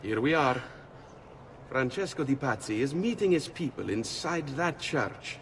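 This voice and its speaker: An actor playing a sly, self-assured master thief with an Italian accent, teasing and theatrical in a game cutscene. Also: male voice, mid-range voice, lively intonation, a little slow. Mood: conspiratorial. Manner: teasing, theatrical, chuckling knowingly. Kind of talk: video game cutscene acting. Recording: faint background noise and ambience.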